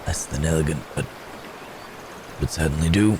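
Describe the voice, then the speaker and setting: A man playing a wounded, gentle cursed werewolf in an ASMR roleplay, halting and hesitant, with pained sighs and hums. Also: a bass voice, background noise. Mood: strained, halting. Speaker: audio roleplay performer.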